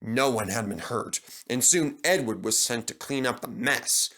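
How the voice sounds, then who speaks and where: A man's voice: medium pitch, measured pace, pushed and bright. A man reading a scripted children's story as its narrator.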